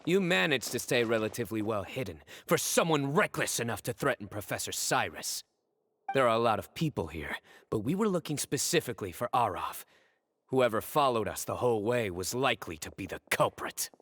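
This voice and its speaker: An actor playing a stern, composed young male chief investigator in a video game, speaking in a measured, authoritative way. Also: mid-range voice, sing-song, fairly bright tone, slight background noise. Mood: accusing.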